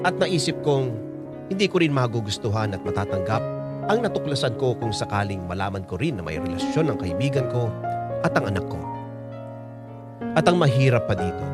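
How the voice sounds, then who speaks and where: Actor as a remorseful Filipino narrator over piano. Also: male voice, medium pitch, natural voice. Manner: reflective, confessional. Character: remorseful man narrating his troubled forbidden romance. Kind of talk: first-person radio drama narration. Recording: loud piano music underneath.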